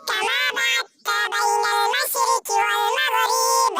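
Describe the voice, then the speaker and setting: A woman's voice: very high-pitched, unhurried, faint music behind, full character voice. A woman voicing eager cartoon schoolchildren. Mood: loud chanting. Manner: eager, respectful, repeats loudly after the teacher. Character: eager young schoolchildren as a group. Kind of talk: cartoon voice acting.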